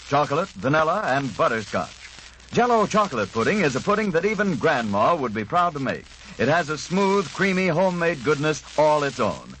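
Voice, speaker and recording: A man's voice: a little high, a bit bright. An American man reading a commercial in the style of a 1940s radio announcer. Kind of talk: 1940s radio advertising read. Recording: faintly noisy vintage radio broadcast.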